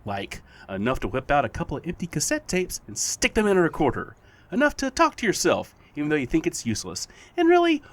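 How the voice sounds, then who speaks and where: An actor in an audio drama playing a playful, needling supernatural being who poses as a best friend, with theatrical, drawn-out teasing. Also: mid-range voice.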